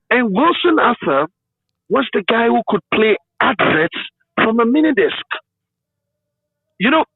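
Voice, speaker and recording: A Ghanaian-accented man who works in radio, telling stories in an interview in an emphatic, animated, conversational way. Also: extremely high pitch, no echo, narrow, tinny audio. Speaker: radio broadcaster being interviewed.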